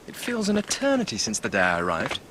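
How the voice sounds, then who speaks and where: Eager young gentleman, lively swooping voice. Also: fairly low pitch, fast, background noise. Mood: bright, musing. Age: young adult. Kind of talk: radio drama acting, interior monologue.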